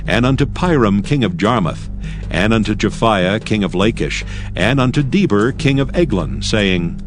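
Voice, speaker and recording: A man narrating dramatized scripture in a formal, measured storytelling manner. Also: fairly low pitch, lively intonation, over music.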